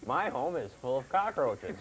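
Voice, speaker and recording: A man hosting a comedy puppet segment, talking haltingly as he banters and tries to keep a straight face. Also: medium pitch, sing-song. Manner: halting, breaks into chuckles. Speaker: host. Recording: noisy amateur TV recording.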